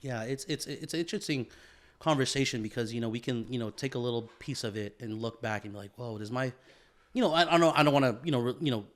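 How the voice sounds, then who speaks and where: A man's voice: mid-range voice, fast talker, fairly bright tone. Laid-back Bay Area guy saying bro. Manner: laid-back, calls people bro and dude, swears often. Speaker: podcast co-host. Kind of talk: casual chat.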